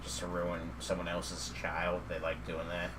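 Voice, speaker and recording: A man's voice: low voice, monotone, a little slow, recorded from a distance, noisy background. A man, co-hosting a podcast as casual chat, telling stories and cracking dry jokes. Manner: dry joking, storytelling with fillers. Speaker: podcast co-host.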